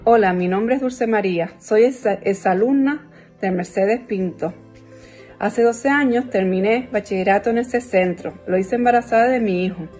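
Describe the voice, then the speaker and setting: Mellow matter-of-fact woman. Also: mid-range voice, moderate pace, soft background music. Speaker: former student. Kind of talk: casual testimonial.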